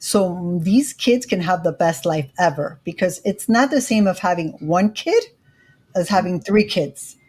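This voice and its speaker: A woman's voice: fairly low pitch, animated, unhurried, darkish tone, husky. A woman in her forties with a Miami accent, speaking earnestly as an interview guest, with frequent fillers. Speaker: interview guest, credit educator. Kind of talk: casual interview conversation.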